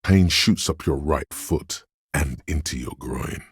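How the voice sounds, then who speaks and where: A man voicing a menacing, darkly poetic inner voice of pain in a video game, narrating in a brooding, deliberate and goading way. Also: a bass voice, slightly rough, dry recording, studio quality, exaggerated voice. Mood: grim, deliberate. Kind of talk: dramatic second-person game narration.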